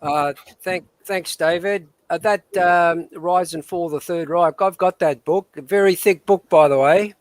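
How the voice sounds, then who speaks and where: A man's voice: sits high, measured pace, hardly any pauses, dry recording. A man hosting a discussion show, speaking hesitantly with many fillers. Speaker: host. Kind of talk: casual discussion.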